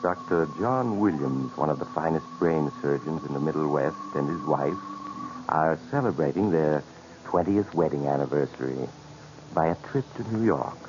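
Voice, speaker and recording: A dull 1940s radio recording with loud music carries a sinister mystery host. He speaks theatrically, sly and ominous, as if inviting listeners into dread. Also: extremely low pitch, expressive, normal speed. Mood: grave storytelling. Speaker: narrator.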